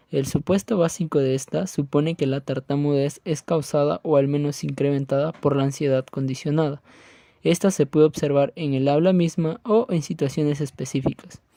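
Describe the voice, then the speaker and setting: A man's voice: on the high side, dark tone, no echo, studio quality. A man reading scripted educational narration aloud in a formal manner.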